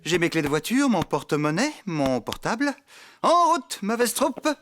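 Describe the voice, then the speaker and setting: A man's voice: high voice, moderate pace. A man voicing a friendly, forgetful farmhand in a children's cartoon, eager to please and slightly flustered. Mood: proud, cheerful. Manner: animated, good-natured, slightly flustered. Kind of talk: French children's cartoon dubbing. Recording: background music under the voice.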